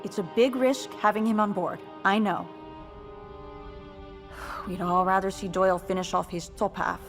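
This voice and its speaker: A woman's voice: medium pitch, close to an everyday voice. An actress playing a level-headed, resolute female rebel leader in dramatic video game dialogue, matter-of-fact and commanding. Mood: measured, determined.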